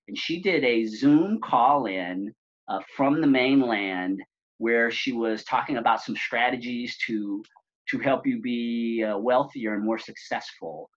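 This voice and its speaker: A middle-aged man hosting a podcast interview over a video call, with a measured, polished delivery. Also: medium pitch. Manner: measured, polished, some fillers. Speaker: podcast host. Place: office room with plain wall. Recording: dull lo-fi call audio.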